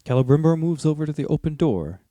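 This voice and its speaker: A man's voice: on the high side, animated, quick pace, dark tone, no echo. A man with an American accent who hosts a podcast and narrates stories. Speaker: podcast host and drama narrator. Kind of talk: casual discussion and storytelling narration.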